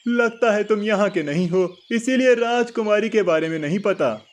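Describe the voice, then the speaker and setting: A man's voice: high voice, normal speed, lightly acted. A man voicing a chatty local townsman in a cartoon folk tale, informal and explanatory. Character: chatty local townsman giving news and directions.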